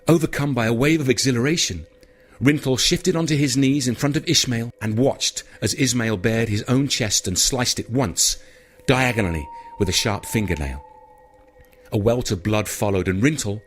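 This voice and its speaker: A man's voice: medium pitch, lively intonation, normal speed, breathy edge, soft background music. A man reading horror fiction as an audiobook narrator, in a scripted, dramatic storytelling style.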